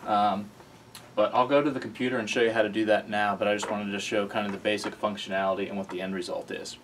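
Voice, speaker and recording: A young man presenting a casual tech tutorial in an informal, halting way, often correcting himself. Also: lowish voice, level tone, noisy background. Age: young adult. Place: small office cubicle indoors, then screen recording voice-over.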